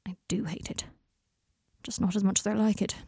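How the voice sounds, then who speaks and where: A woman's voice: medium pitch, steady tone, moderate pace, mellow, breathy edge, dry recording, clean studio sound. A woman voicing a sardonic, self-deprecating young man in therapy, rambling and gloomy, in audiobook dialogue. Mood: gloomy, wry. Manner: sarcastic, rambling confessions with abrupt breaks.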